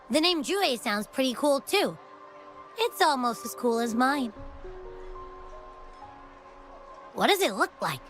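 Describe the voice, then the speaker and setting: A woman's voice: on the high side, fairly bright tone. A young woman voicing a cheerful, food-loving companion in a video game cutscene, bubbly and a little boastful. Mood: playful. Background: faint game music.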